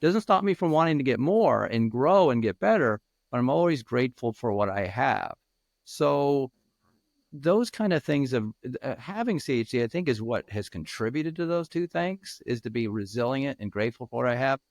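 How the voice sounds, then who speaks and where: A man over fifty answering interview questions as a peer mentor, speaking earnestly and haltingly with many restarts. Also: medium pitch, lively intonation, dry recording, studio quality.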